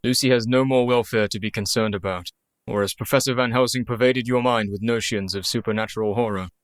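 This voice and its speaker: An actor playing a grieving, skeptical sanitarium doctor in a radio play, formal, weary and stubborn. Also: male voice, on the low side, fairly even intonation, a little fast, no room sound, clean studio sound, natural voice. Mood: defiant, skeptical.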